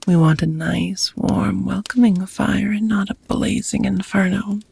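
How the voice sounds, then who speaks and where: A woman playing a gentle, courteous female vampire roommate in an intimate ASMR roleplay, speaking in a tender, softly formal, breathy half-whisper. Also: medium pitch, steady tone, measured pace, exaggerated voice. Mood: warm, reassuring.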